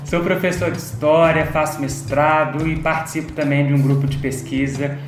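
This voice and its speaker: A man's voice: fairly high pitch, little pitch movement, a little slow. A man in his twenties from Minas Gerais, with a Mineiro accent tinged by the north of the state, introducing himself playfully as a guest. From Minas Gerais, Brazil. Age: 20s. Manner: playful, laughing. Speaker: history teacher, podcast guest. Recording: roomy sound from a distance, music bed.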